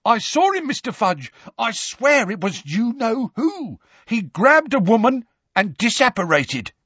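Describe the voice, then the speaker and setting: A man voicing an agitated wizard officer in an audiobook, shouting urgently. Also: extremely high pitch, sing-song, measured pace, husky, no room sound, muffled recording, pitched above the performer's own voice, full character voice. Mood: urgent, excited.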